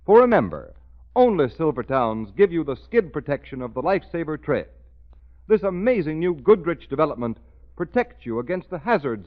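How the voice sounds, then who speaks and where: A man announcing a commercial in an emphatic, salesmanlike 1930s radio style. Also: a little high. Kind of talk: 1930s radio advertising read. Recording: muffled, dull 1930s radio recording.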